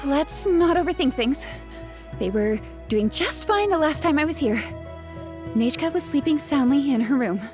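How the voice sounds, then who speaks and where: High, lively, eager young villager. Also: female voice, moderate pace, over music. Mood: reassuring, explaining. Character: bright, helpful, energetic young villager. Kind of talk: English video game voice acting.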